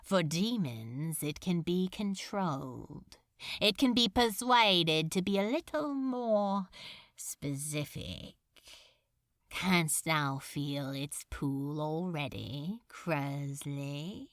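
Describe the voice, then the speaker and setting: A woman's voice: sits low, animated, drawn-out words, no room sound, clean studio sound, voice pushed down, full character voice. A woman voicing a cold, bored male demon lord in a storybook reading, speaking dreamily and tauntingly in archaic phrasing. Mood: dreamy taunting.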